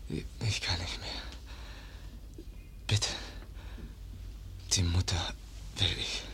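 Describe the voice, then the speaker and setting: A man's voice: deep voice, level tone, slow pace, a bit bright. An actor playing a stabbed young man in a radio play, weak and whispering in broken, clipped sentences. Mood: exhausted. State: weak from a stab wound, breathy whisper. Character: wounded young man Kaspar, weak, halting.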